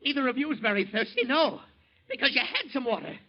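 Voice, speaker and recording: An actor in 1940s radio style playing a crazed, thirst-maddened drunkard, jealous, sneering and menacing with a gun. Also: male voice, very high-pitched, very animated, a little fast, full character voice. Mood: raging paranoia. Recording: dull, lo-fi 1940s radio recording.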